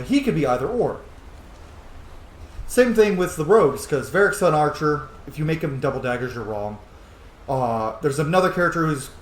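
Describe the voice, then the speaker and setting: A man doing casual, chatty, informal gaming commentary as he plays. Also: a little high. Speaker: gaming video host. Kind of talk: casual roleplay playthrough commentary. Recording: roomy sound with faint noise.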